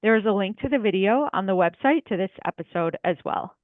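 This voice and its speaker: A woman podcast host thanking her guest in a measured, polite way. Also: medium pitch, animated, no room sound. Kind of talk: polite scripted wrap-up. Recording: tinny phone-like line.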